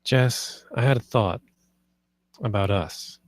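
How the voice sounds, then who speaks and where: A man's voice: fairly low pitch, slow, dry recording. A British man reading the part of a nervous, earnest husband in a film script, with a hesitant, understated delivery. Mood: hesitant, anxious. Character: nervous, earnest husband proposing swinging to his wife. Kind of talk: film script table read, naturalistic dialogue.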